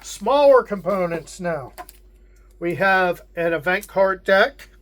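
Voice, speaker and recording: A man with a Pittsburgh accent, hosting a casual unboxing and walking through the contents in a measured way with frequent pauses. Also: high-pitched, expressive, a bit bright. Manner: unhurried, measured, with frequent hesitations. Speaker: wargame channel host.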